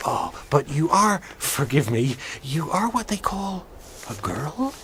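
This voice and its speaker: Over clear background noise and clicks comes a timid, courteous faun, played by an actor in a fantasy drama. The voice swoops about, hesitant and apologetic as it asks questions. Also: medium pitch, big pitch swings, takes it slowly, a bit bright, full character voice. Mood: flustered, curious.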